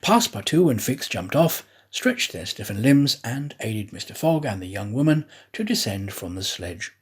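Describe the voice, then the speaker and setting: A man reading a classic adventure story aloud as an audiobook narrator, with a deliberate, dramatic storytelling delivery. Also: fairly low pitch, big pitch swings, slightly rough. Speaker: audiobook reader, actor.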